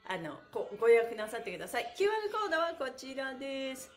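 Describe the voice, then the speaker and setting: A woman's voice: high voice, fast, faint music behind. A middle-aged woman leading a livestreamed stretch class in a cheerful, giggly, encouraging way, with plenty of energy. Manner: energetic, chanting counts and slogans. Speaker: stretch class host and pantomime performer. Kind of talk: livestreamed exercise instruction with chat to viewers. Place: small bare-walled indoor room. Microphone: phone or camera a short distance away. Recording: echoey room, distant camera mic.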